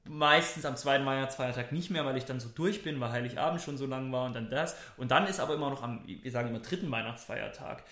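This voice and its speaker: A man's voice: medium pitch, fast talker, hardly any pauses, fairly bright tone, roomy sound. Chatty enthusiastic male podcast co-host. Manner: enthusiastic, rambling with restarts. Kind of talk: casual chat.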